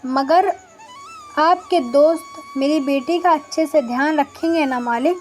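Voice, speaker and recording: A poor, trusting village potter played by a woman speaks with earnest deference in a high voice. The storybook line has music behind it. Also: measured pace, darkish tone. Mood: humble. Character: humble, trusting poor widowed male potter.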